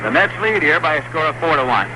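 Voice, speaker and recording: American baseball announcer on noisy old radio. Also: male voice, fairly high pitch, lively intonation, fast talker. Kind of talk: 1960s radio sports commentary.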